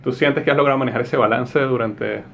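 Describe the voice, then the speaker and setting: A man's voice: mid-range voice, steady tone, a little fast, vocal fry, fairly bright tone. A man hosting a podcast interview, asking questions with hesitations and restarts. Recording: dull lo-fi sound with faint noise.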